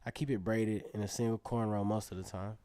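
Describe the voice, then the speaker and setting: A man's voice: on the low side, fairly even intonation, a little fast, heavy background noise, lightly acted. An actor playing an earnest teenage acrobat who narrates his story in a reflective, conversational way in a radio drama. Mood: matter-of-fact. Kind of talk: first-person narration in an Afrofuturist radio drama.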